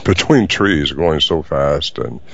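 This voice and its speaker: An older man answering interview questions in a halting way, with many fillers. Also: extremely low pitch, lively intonation, vocal fry, a bit bright. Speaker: guest. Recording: dull lo-fi audio.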